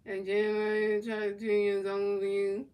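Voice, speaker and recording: Flat-voiced woman streamer calling a football game. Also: mid-range voice, clear, resonant tone, far from the mic. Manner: announces plays in a measured, even delivery; talks back to chat in casual slang. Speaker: streamer playing and commentating a football video game. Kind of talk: play-by-play game commentary mixed with chat replies.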